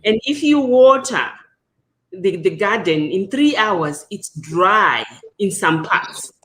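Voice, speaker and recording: Slow, earnest woman on a call. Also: medium pitch, expressive, fairly bright tone. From Zimbabwe. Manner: earnest, frustrated. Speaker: guest panelist. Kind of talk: video call discussion. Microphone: video call.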